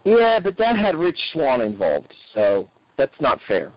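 Slightly rough-voiced man on dull podcast audio. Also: medium pitch, wildly swooping pitch, unhurried, no room sound. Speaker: wrestling podcast co-host. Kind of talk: casual sports review chat.

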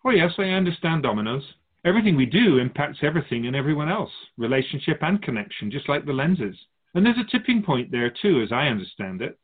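Casting: male actor as otherworldly keeper of souls, wise and serene, lilting widely, husky edge, radio drama. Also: fairly high pitch, big pitch swings, quick pace. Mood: wise, gently encouraging. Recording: slightly muffled, phone-like narrow sound.

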